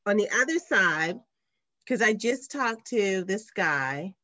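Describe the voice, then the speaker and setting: A woman who runs tours, chatting casually on a podcast in a joking, relaxed way. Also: mid-range voice, takes it slowly, no echo. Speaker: tour guide, podcast regular. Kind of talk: casual chat. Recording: dry, slightly dull sound.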